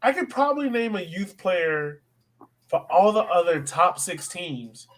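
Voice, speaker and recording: A man's voice: high-pitched, big pitch swings, a little slow, low-quality recording. A man co-hosting a sports podcast, chatting casually and agreeably as he builds on points. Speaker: podcast co-host. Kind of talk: casual soccer discussion.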